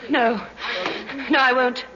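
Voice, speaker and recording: An actress playing a defensive, frightened widow suspected of murder, melodramatic and breathless, in an old-time radio drama. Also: female voice, high voice, steady tone, takes it slowly, crowd noise. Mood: panicked. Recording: muffled, very noisy old recording with clicks.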